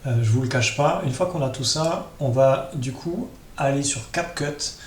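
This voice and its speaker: A man's voice: mid-range voice, moderate pace. A man giving an informal step-by-step screen-share tutorial, talking directly to his viewers. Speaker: tutorial host. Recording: roomy echo with clear background noise.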